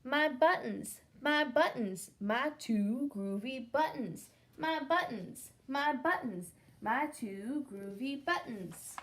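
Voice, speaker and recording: A woman's voice: sits high, lively intonation, slow, few pauses, a bit bright, exaggerated voice. A woman voicing a cheerful, laid-back cartoon cat, chanting a little song in a sing-song way. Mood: easygoing.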